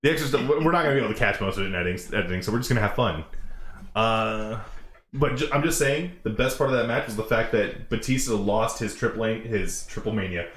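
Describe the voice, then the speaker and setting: A man with a loud voice hosting a casual reaction chat, rambling excitedly and often restarting his sentences. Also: on the low side, quick pace. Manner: excited, rambling, self-interrupting. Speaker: show host. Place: outdoors in the shade. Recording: roomy with faint noise.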